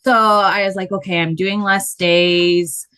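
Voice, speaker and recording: A woman with a loud voice, a body painter telling her story in a casual interview, animated and quick to laugh. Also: medium pitch, clear, resonant tone.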